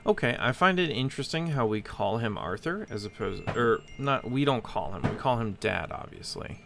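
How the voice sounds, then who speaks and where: A man's voice: medium pitch, lively intonation, normal speed, gravelly, background noise. A man giving casual let's-play commentary, musing aloud as he thinks through puzzles. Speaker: gaming host, player. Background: game music.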